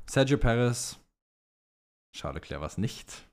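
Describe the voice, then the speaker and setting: Young man, podcast co-host, informal slangy delivery, teasing casual banter, mid-range pitch. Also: sing-song, slow.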